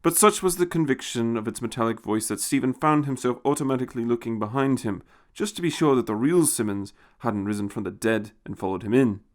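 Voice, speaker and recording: A man's voice: medium pitch, brisk, few pauses. A man reading a scripted science fiction story as its narrator.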